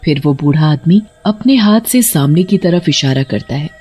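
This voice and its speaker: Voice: mid-range voice, expressive, normal speed, dark tone, husky, faint music behind. A narrator reading a scripted horror story in the third person.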